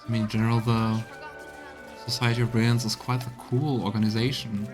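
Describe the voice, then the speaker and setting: A man's voice: mid-range voice, little pitch movement, brisk. A man doing let's play commentary as a game streamer, talking through the game and reading its texts aloud. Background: game music.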